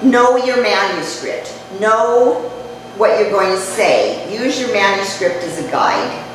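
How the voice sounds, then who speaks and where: Older woman speaking low in an echoey hall. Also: lively intonation, slow. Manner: conversational, chatty, jokes with the audience. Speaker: speaker giving a short public talk. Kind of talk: informal talk to a live audience. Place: community event room. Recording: echoey hall, mic far off, noisy.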